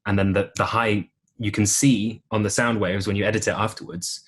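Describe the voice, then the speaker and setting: A young man with a deep voice telling stories in a casual podcast interview, with plenty of ums and restarts. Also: normal speed. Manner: relaxed storytelling with many ums and restarts. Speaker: podcast guest, musical theatre performer.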